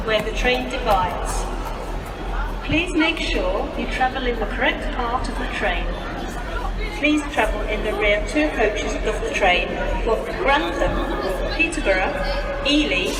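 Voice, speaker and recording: A British woman making formal, measured, carefully enunciated train announcements over a station loudspeaker, in pieced-together phrases. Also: on the high side. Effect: public-address loudspeaker. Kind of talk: scripted public-address train announcements. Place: railway station. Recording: echoey, distant station loudspeaker, loud background noise.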